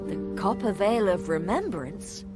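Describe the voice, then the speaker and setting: A woman's voice: medium pitch, lively intonation, a little slow, natural voice. An actress playing a skeptical, matter-of-fact female adventurer in a fantasy video game. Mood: blunt, sure. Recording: game music behind the voice.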